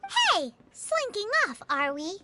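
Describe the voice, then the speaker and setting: Tiny sassy game fairy girl, super squeaky, swoops everywhere, loud music behind. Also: wildly swooping pitch, takes it slowly, exaggerated voice. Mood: indignant, probing. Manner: sassy, indignant, exclaiming. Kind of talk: animated video game voice acting.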